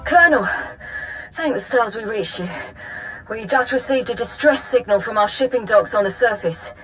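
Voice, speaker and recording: A woman's voice: on the high side, lively intonation, moderate pace, a bit bright, a little hiss or hum, low-quality recording. A woman playing an anxious military officer in a video game, giving an urgent, tense report. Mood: urgent, alarmed. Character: anxious female Imperial officer reporting an emergency. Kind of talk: video game cutscene acting. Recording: music behind the voice.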